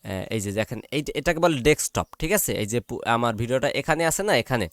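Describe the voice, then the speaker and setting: A man with a Bangladeshi accent walks through software step by step in an informal way. His voice sits at a middling pitch in a dry, close recording. He repeats each step and often checks that listeners are following. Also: animated, fairly quick, dry recording. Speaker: tutorial presenter.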